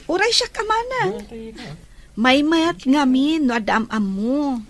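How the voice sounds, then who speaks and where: A woman's voice: sits high, animated, measured pace. A woman playing an eager, chatty younger woman in an Ilocano audio drama. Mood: eager, friendly.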